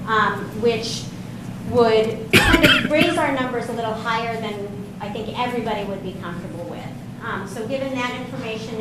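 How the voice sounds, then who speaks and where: A woman, a special education director, giving a brisk update with a loud voice and frequent ums at a school board meeting. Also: fairly high pitch. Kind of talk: presenting at a public meeting. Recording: very echoey room, heavy noise.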